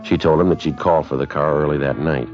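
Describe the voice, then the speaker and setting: Very deep, deadpan police sergeant. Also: male voice, fast, faint music behind. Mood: matter-of-fact. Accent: American. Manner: clipped, matter-of-fact, deadpan. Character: terse, businesslike male police sergeant, narrating his case. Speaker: detective and narrator. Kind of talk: 1950s radio police drama acting and narration. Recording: dull vintage 1950s radio broadcast.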